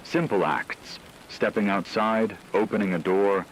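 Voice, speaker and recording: A low-pitched man acting as a male news correspondent in a radio drama gives grave, measured disaster reports. His delivery is formal and scripted, over noisy rain-like ambience. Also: steady tone, close to an everyday voice. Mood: grave, ominous.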